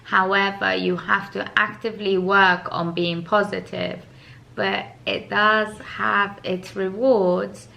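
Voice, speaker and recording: A woman in her thirties chatting casually and giving calm, encouraging advice. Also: mid-range voice, takes it slowly, creaky, muffled recording. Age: 30s. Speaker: co-host. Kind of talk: casual Q&A chat.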